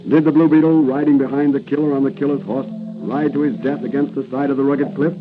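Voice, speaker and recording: A man's voice: mid-range voice, little pitch movement, a little fast. A man narrating a radio serial in a portentous, theatrical 1940s announcer style. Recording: tinny 1940 radio broadcast recording. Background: music.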